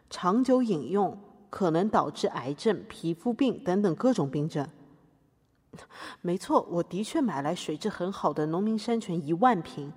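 A woman acting as an earnest activist defendant in a podcast courtroom drama, recorded with long hall reverb. Her husky, mid-pitched voice declaims her defence with open defiance. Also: dark tone.

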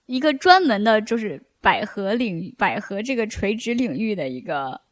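Animated woman hosting a casual podcast. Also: medium pitch, normal speed, no room sound. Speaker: podcast host. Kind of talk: casual interview chat.

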